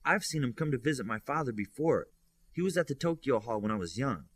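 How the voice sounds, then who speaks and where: A man's voice: mid-range voice, expressive, fairly quick, breathy edge. Man reading as a precise, serious superhero girl. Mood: matter-of-fact. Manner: matter-of-fact, precise.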